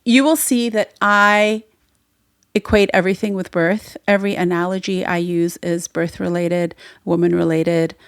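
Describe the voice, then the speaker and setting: A woman's voice: lowish voice, steady tone, normal speed, smooth, dry recording, studio quality. A woman whose first language is Farsi, hosting her own podcast and telling her story in an earnest, reflective way. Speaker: podcast host and midwife. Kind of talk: solo podcast monologue.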